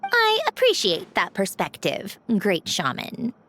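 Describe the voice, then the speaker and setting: A woman's voice: mid-range voice, wildly swooping pitch, slow, fairly bright tone, slight background noise, exaggerated voice. An actress playing a composed, proud, businesslike young woman in a video game, speaking with formal poise. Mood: composed, courteous. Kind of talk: video game character dialogue.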